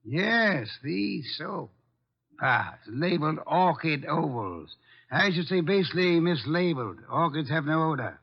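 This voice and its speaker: An actor playing a brilliant, imperious master detective, speaking precisely and pompously in a radio drama. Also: male voice, on the high side, sing-song, a little slow, breathy edge, full character voice. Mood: pompous, deductive. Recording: dull, lo-fi radio drama recording.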